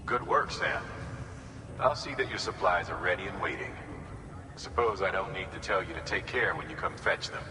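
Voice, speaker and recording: A man's voice: sits low, moderate pace, natural voice. A man playing a composed operations chief in a video game, giving briefings in a businesslike, authoritative way. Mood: approving. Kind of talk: dramatic game radio briefing. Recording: echoey hall, clear background noise.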